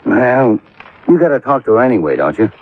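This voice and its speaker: An American actor playing an easygoing, laconic police lieutenant in a 1950s radio drama, unhurried and noncommittal, with a husky voice. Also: male voice, mid-range voice, very animated, slight background noise, natural voice. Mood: guarded, knowing. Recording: dull vintage radio recording.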